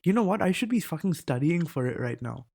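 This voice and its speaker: A man's voice: on the high side, a little fast, gravelly. Rambling teen guy vlogging at a desk mic. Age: teens. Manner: rambling, self-interrupting, sweary, relaxed. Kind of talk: casual rambling vlog. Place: indoors at a laptop.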